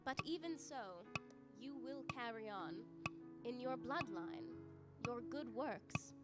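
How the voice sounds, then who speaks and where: Woman acting ageless female spirit, serene, cryptic, deliberate, fairly high voice, radio play, loud music behind. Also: lively intonation.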